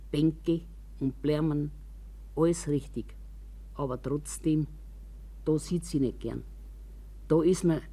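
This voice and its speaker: An older actress playing a grumbling, wary old woman from a care home in a radio monologue, with a Bavarian accent and a rambling, complaining, confiding way of talking. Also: low-pitched. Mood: rambling, plaintive. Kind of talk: radio drama monologue in colloquial speech.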